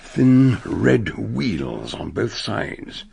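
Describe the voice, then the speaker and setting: A man playing an eccentric, shrewd detective doctor in a radio drama, deliberate and musing as he questions and narrates. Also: lowish voice, very animated, gravelly, darkish tone, breathy edge, exaggerated voice. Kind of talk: radio drama acting and first-person narration.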